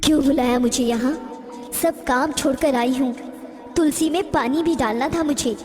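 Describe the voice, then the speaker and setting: Woman acting a high-voiced scolding witch ghost in reverb. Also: moderate pace, natural voice. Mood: indignant. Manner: admonishing, righteous. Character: stern, dutiful female witch ghost, scolding lazy housewives. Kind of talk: Hindi animated moral-story dialogue. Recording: long hall reverb, music behind.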